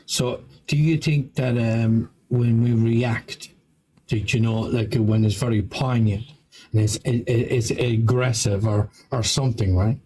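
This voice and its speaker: A man's voice: medium pitch, fairly even intonation, a little slow, dark tone, rough recording. A man in a casual talk, asking questions in a hesitant, circling way full of fillers and restarts. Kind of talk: casual live-stream conversation.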